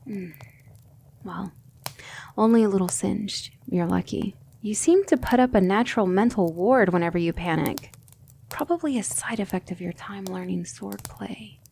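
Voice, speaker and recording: A woman acting a gentle, firm demon tutor, noisy recording. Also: medium pitch, vocal fry, lightly acted. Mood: gentle, reassuring. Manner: soothing, measured, formal, sometimes whispery. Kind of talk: ASMR roleplay.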